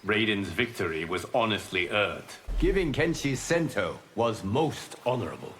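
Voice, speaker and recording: An actor playing a calm, solemn fire god and guardian mentor in a fighting game, speaking in formal, measured phrases. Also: male voice, fairly low pitch, a little hiss or hum. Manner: formal, measured, grave wording. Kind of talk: scripted fighting game dialogue.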